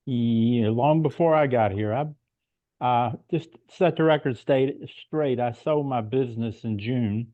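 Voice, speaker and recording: A man's voice: mid-range voice, measured pace, dry recording. A man who sold his business, speaking plainly and earnestly on a video call. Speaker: retired business owner. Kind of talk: casual video call discussion. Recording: tinny dry phone-like call audio.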